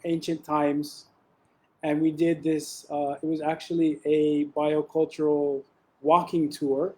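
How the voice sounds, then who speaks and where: Relaxed man giving a webinar talk. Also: fairly high pitch. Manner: relaxed, with frequent ums and restarts. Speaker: webinar presenter.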